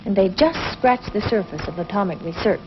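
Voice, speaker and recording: An actress playing a bright, curious young scientist from the future in 1950s television science fiction, inquisitive and earnest. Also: female voice, medium pitch, lively intonation, a little fast, natural voice. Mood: curious, spirited. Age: young adult. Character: bright young female space-age scientist, curious and spirited. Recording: noisy, dull 1950s television soundtrack.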